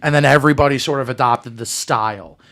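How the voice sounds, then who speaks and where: A man's voice: medium pitch, quick pace, sharp tone. A man co-hosting a podcast in casual sports chat, explaining things and repeating points for emphasis. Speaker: podcast co-host.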